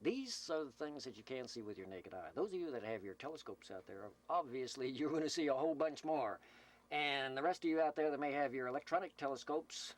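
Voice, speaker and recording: A man's voice: medium pitch, expressive, fairly quick. Folksy, teasing man making community radio announcements. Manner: joking, teasing, rambling. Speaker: CB radio announcer and host of a roll call. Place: Slab City, California desert camp. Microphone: CB radio microphone, filmed while speaking.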